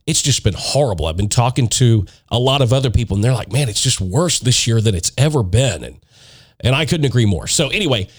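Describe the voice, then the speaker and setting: Stuffy-nosed male podcast host. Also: mid-range voice. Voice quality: congested, nasal. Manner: chatty, upbeat, with frequent restarts. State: stuffy nose from allergies. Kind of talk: casual solo podcast talk.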